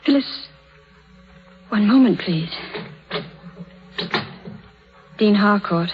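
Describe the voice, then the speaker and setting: A woman's voice: medium pitch, expressive, takes it slowly, music in the background. A woman playing an earnest, troubled, strong-willed young woman in a 1930s radio drama, with a carefully acted delivery. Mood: polite, subdued. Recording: dull, lo-fi 1930s radio recording.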